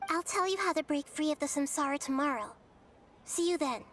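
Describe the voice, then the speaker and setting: A woman voicing a wise, gentle young girl deity in a video game, patient and teacherly, with a measured, softly formal manner. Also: sits high, a little hiss or hum. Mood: calm.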